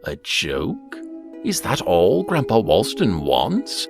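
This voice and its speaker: A man playing the storyteller host of an eerie anthology series, narrating in a dramatic, deliberate, arch manner. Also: fairly low pitch, huge pitch swings, gravelly, heavily acted. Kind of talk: theatrical scripted anthology narration. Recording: voice under loud music.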